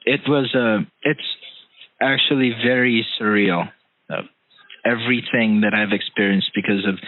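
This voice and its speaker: A man speaking earnestly and haltingly in a conversation, often pausing to search for his words. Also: on the low side. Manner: hesitant, halting delivery. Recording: roomy, tinny phone-like call audio.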